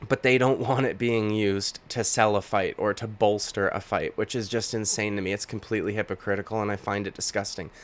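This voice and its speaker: A man with a slightly low voice delivers an opinionated reaction commentary on MMA, indignant and repeating himself as he rants. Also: fairly even intonation, brisk, few pauses. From Canada.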